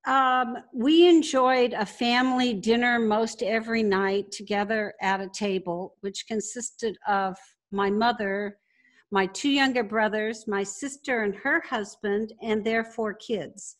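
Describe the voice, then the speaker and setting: Older woman with a somewhat high voice. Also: unhurried. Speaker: podcast guest, business founder. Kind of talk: conversational interview, recalling and explaining.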